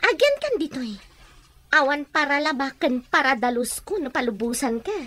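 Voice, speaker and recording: A domineering Filipino woman with an Ilocano accent in a radio drama. Her voice sits high and leaps around in pitch. She is sharp, scornful and used to giving orders. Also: sing-song, moderate pace, heavily acted. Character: domineering, scheming woman holding a younger woman captive.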